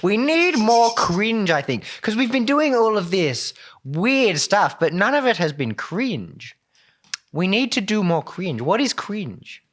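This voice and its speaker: A man's voice: high voice, sing-song, slow pace, fairly bright tone, heavily acted. A man playing a delusional, chaos-loving wizard who rants and rambles dramatically in an improvised comedy roleplay. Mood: manic.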